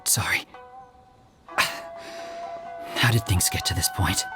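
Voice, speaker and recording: A man's voice: medium pitch, wildly swooping pitch, a little fast, loud music behind the voice. A man playing a wary, gossiping townsperson in a video game, uneasy in manner.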